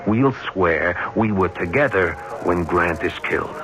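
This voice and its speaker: A man's voice: low voice, expressive, measured pace. An actor playing a bitter man set on revenge, talking with cold, insistent intensity. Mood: grim. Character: bitter vengeful man plotting a killing. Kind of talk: 1970s radio drama acting. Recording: muffled old radio broadcast.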